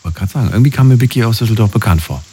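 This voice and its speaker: A man's voice: on the low side, expressive, rapid-fire, mellow, husky. A man hosting a late-night radio call-in show from a studio, reflecting back what is said and asking questions. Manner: reflects callers' points back, asks questions. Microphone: studio microphone.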